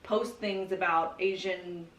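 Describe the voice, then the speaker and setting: A woman's voice: lowish voice, steady tone, slow, a bit bright. A young American woman from California talking candidly and casually, peppering her speech with swearing, "like" and "you know". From California, United States. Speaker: podcast guest sharing her personal story. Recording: roomy echo, distant from the mic.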